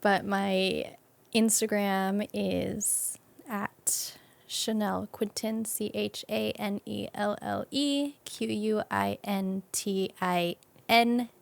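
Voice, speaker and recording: Casual woman with a creaky voice. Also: mid-range voice, fairly even intonation, slow. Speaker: podcast guest being introduced as new series host. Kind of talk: casual chat.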